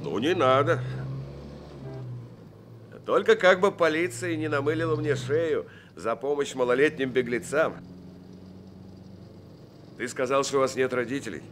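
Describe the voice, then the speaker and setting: An actor playing a plainspoken, kindhearted truck driver in an old Soviet radio play. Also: male voice, mid-range voice, measured pace, long pauses, fairly bright tone, slight background noise, lightly acted. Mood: gruff. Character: kindhearted middle-aged truck driver, blunt. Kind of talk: 1970s Soviet radio drama acting.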